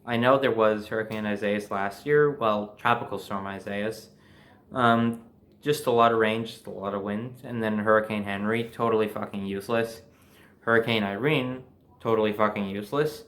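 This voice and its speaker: A man's voice: lowish voice, steady tone, moderate pace, a bit bright. A young adult man with an American accent tells a personal story in a casual, conversational and emphatic way. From New Jersey, United States. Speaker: storyteller, host.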